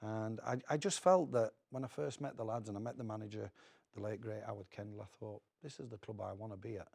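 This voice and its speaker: Gravelly, low-voiced Northern English man. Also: steady tone, quick pace, a little mellow. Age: middle-aged. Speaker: former footballer, studio guest. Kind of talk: casual chat, reminiscing.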